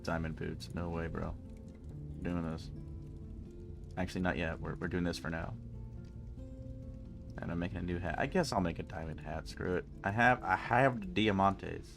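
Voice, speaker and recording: A man's voice: deep voice, fairly even intonation, moderate pace, creaky, long pauses, music in the background. A man with a stuffy nose chatting casually and rambling jokily with his chat while streaming a game. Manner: rambling, jokey, talks to chat.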